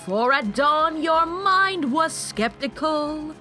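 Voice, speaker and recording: A woman's voice: sits high, expressive, slow pace, sharp tone, soft background music, heavily acted. A woman playing a haughty, villainous young changeling queen in a fan audio drama, theatrical and condescending as she lords it over her younger sister. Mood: theatrical, sing-song.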